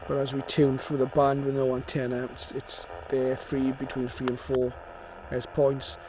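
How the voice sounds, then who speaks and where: A man's voice: mid-range voice, completely flat delivery, normal speed, background noise. A man giving an informal, matter-of-fact product demonstration, explaining things as he goes. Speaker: presenter of a radio receiver review.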